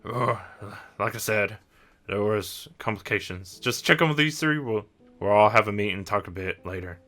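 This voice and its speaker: A man's voice: lowish voice, lively intonation, moderate pace, a bit bright. A man voicing an earnest, weary creature team leader, giving hesitant instructions in a tabletop roleplay. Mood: serious concern.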